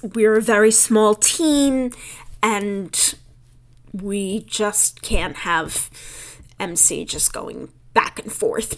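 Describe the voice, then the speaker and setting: Chatty New York woman, slow-paced. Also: mid-range voice. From New York City. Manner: chatty, laughs often, reads game text aloud. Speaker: game creator playing through a demo. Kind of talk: casual game walkthrough commentary.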